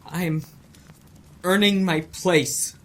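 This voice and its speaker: A man's voice: sits high, fairly even intonation, very slow, a bit bright, full character voice. A man acting a troubled, intense young student cultist in an audio drama, curt and strained. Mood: defiant. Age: young adult.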